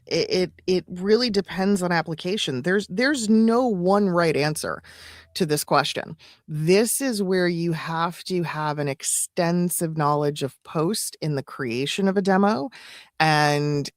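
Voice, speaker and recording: A woman's voice: lowish voice, no room sound. Woman co-host, casual advice, dry sound. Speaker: live stream co-host and voice-over coach. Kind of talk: casual advisory chat.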